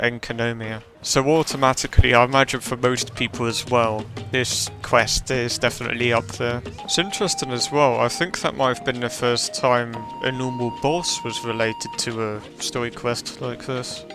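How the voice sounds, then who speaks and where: A man's voice: medium pitch, fairly even intonation, normal speed, hardly any pauses. A man streaming games, giving rambling, offhand reaction commentary with music in the background. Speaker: gaming streamer. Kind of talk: casual game reaction commentary.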